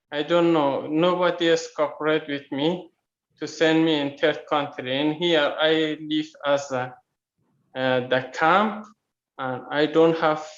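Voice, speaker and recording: A slightly high-voiced man with an Afghan accent tells his own story in halting, non-native English. He speaks slowly, over a video call with a clear room echo. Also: fairly bright tone. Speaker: guest, refugee. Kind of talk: personal account in a video meetup. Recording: echoey room, muffled video-call audio.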